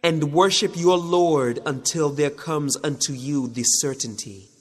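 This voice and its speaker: A man narrating a scripted religious audiobook of translations in a measured, solemn manner. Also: on the high side.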